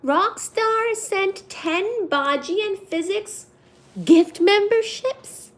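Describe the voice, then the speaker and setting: A woman in her thirties from India, chatting casually with her viewers on a livestream, giggly and quick to get excited reading the chat. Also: very high voice. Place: home room with cat shelves.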